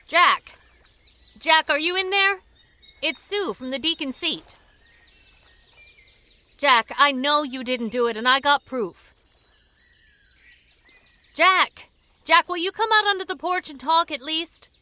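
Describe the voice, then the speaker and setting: A woman's voice: sits high, animated, slow, long pauses, pushed and bright, exaggerated voice. A woman acting in a radio drama as a feisty, plain-spoken woman who pleads earnestly and urgently. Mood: urgent, calling out.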